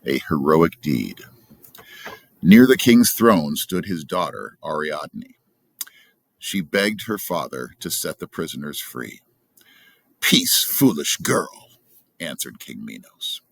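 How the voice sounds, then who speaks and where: A man's voice: very deep voice, big pitch swings, takes it slowly, no room sound. A man reading a story aloud as a narrator. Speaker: storybook reader.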